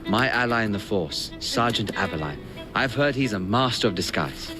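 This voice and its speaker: An actor playing an earnest, courteous young assassin mentor in a Victorian video game, speaking in a polite, advisory way. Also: male voice, on the low side, lively intonation, moderate pace, over music, natural voice. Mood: thoughtful. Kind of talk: video game cutscene acting.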